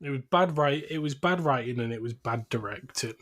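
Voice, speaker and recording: A man's voice: mid-range voice, fairly quick, fairly bright tone. A man co-hosting a podcast, talking casually about films with friends. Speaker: podcast co-host. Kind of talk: casual film chat.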